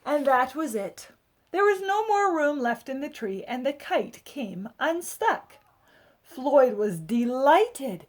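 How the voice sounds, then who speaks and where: A woman reading a picture book aloud to children, with expressive dramatic emphasis and chatty asides to her listeners. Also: sits high, takes it slowly.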